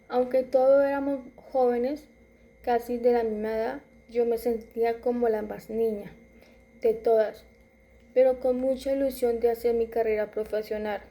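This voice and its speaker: A woman in her twenties reads her own story aloud, stumbling and restarting words now and then. Her voice is fairly high yet very dark in color, with a gravelly rasp at the edges. The delivery stays flat and even, with little rise and fall. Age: 20s. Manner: halting reading with restarts and self-corrections. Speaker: student. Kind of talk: reading a personal narrative aloud.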